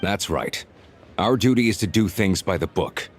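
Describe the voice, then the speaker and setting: Male actor as deep-voiced officious officer, curt, by-the-book, video game character, music behind. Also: moderate pace, natural voice. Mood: stern, officious.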